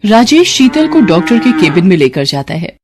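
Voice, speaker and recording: A woman's voice: mid-range voice, big pitch swings, moderate pace, crowd noise. A woman narrating a scripted story as a storyteller.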